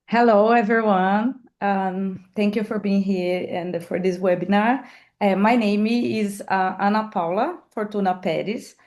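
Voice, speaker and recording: A woman from Brazil, a professor, introduces herself on a video call. She keeps to a medium pitch and stops to correct herself. Her speech is full of pauses. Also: fairly even intonation, a little mellow. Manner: hesitant, with many fillers and self-corrections. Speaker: professor and herbarium curator. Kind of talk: self-introduction on a webinar.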